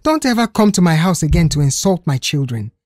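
A woman voicing a calm middle-aged mother in an audio story, dignified, composed and firm. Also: deep voice, fairly quick, no echo.

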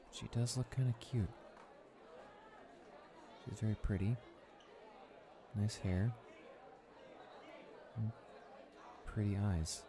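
A young man playing a cocky school bully with a hidden soft spot in an audio roleplay, brash and halting as he talks. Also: low voice, noisy background. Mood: flustered, smitten. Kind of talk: dramatic audio roleplay, monologue.

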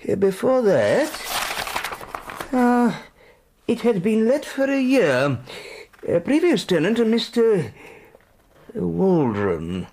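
A prim, hesitant house agent. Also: male voice, high voice, very animated, slow pace, long pauses, darkish tone, a little hiss or hum, exaggerated voice. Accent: English. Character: prim older male house agent, cautious. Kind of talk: 1990s radio drama acting.

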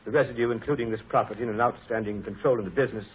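An actor playing a dutiful private secretary, formal, clipped and correct, in a 1930s radio drama. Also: male voice, lowish voice, fairly even intonation, racing, husky. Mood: businesslike. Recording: muffled, band-limited 1930s radio broadcast.

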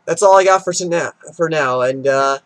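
Young man rambling, fairly high, dry sound. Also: normal speed, dry recording. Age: young adult. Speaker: reaction video host. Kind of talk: casual chat to camera. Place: small room indoors.

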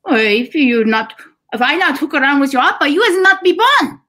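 A woman's voice: high voice, big pitch swings, moderate pace, pushed and bright, exaggerated voice. A woman reading a blunt, middle-aged Korean immigrant mother with a Korean accent and broken English, at a live table read over a video call. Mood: scolding.

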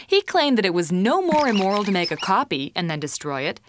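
A woman playing a wary, reasoning narrator of an animated short, telling her story in a measured, matter-of-fact way. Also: medium pitch, slight background noise, close to an everyday voice. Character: wary, reasoning woman recounting a strange invitation.

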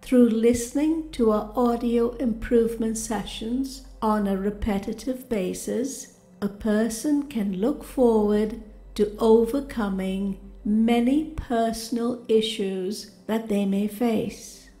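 A woman narrating a scripted guided meditation with soothing affirmations in a calm, gentle, deliberate manner. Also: a little high. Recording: roomy reverberant voice over music. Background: music.

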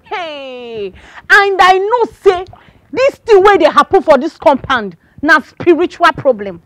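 Gossipy pious Nigerian neighbour, dramatic delivery, bright sharp tone, animated intonation, film comedy. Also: female voice, extremely high pitch, unhurried, dry recording, heavily acted. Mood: conspiratorial alarm. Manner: dramatic, emphatic. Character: excitable gossipy pious female compound neighbour.

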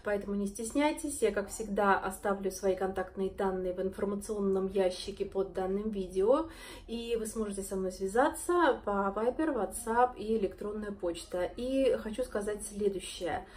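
A woman in her thirties speaks close to the camera with a hoarse voice from a cold. Her tone is warm and mellow, and she keeps admiring the pieces as she calls her viewers friends. A faint shop hum sits behind her. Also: medium pitch, normal speed. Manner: admiring, chatty, addresses viewers as girls and friends. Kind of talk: casual sales presentation to camera. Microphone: phone or camera close up, front-facing.

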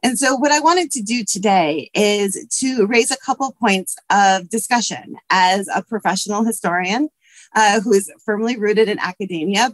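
A woman's voice: medium pitch, dry recording. A woman in her thirties, a history professor, giving prepared remarks on a video call from her office. Her voice is scratchy from allergies, and she talks earnestly with frequent fillers. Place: office with bookshelves. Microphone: webcam video call.

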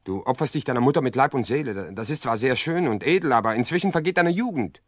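A man's voice: mid-range voice, animated, fast talker, no echo, studio quality, natural voice. A man playing an earnest, insistent suitor in his prime, speaking urgently and persuasively in a radio play. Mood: indignant, urgent.

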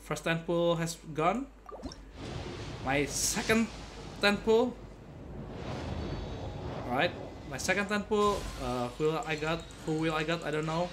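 A young adult man giving casual, playful gaming commentary to his viewers like an excitable showman. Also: fairly high pitch, a little slow, pauses often. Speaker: game streamer. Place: indoor gaming setup at home. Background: game music.

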